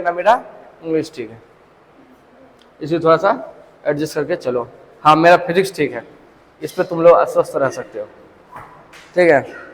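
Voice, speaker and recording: High-pitched male physics teacher lecturing a class, keeps asking questions, heard with long hall reverb. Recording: long echo in a large hall.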